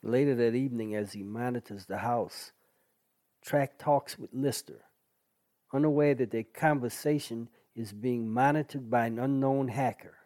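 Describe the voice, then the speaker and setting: A man's voice: medium pitch, normal speed, mellow, close to an everyday voice. A man playing a matter-of-fact narrator of a scripted science fiction audio drama.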